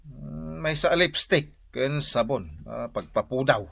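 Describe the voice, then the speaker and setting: A man's voice: mid-range voice, slow, gravelly, a bit bright, husky, no room sound, heavily acted. A man with an Ilocano accent acting an easygoing, put-upon husband who mutters and sighs in a radio drama. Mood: resigned. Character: easygoing, put-upon married man, obliging brother-in-law, mildly grumbling.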